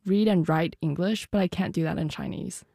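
Creaky, mellow-voiced teenage girl. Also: lowish voice, brisk, dry recording, clean studio sound. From United States. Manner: youthful, informal. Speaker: guest, student classical pianist. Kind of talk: casual chat in an interview.

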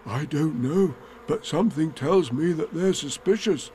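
A man voicing an earnest, slow-witted, thoughtful toy bear in a children's storybook, with a ponderous, deliberate delivery. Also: a little high, mellow, slight background noise, voice pushed up, close to an everyday voice. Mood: resigned, suspicious.

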